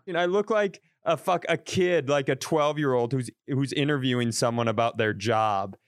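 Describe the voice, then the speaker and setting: A man's voice: mid-range voice, normal speed, dry recording, studio quality. A man co-hosting a podcast over a video call, telling a self-deprecating story in a rambling, casual way with lots of restarts. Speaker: podcast co-host. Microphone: podcast mic over video call.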